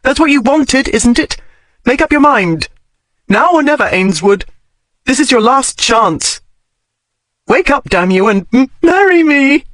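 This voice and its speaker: A man voicing a fiery, headstrong young Regency lady who scolds and pleads in a high, strained voice. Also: lively intonation, unhurried, pauses often, fairly bright tone, full character voice. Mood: desperate pleading.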